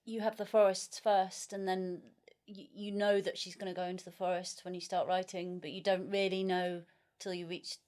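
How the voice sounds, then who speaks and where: A woman's voice: lowish voice, little pitch movement, moderate pace, a little mellow, clear, resonant tone. A woman interviewer speaking in a conversational way during a book discussion.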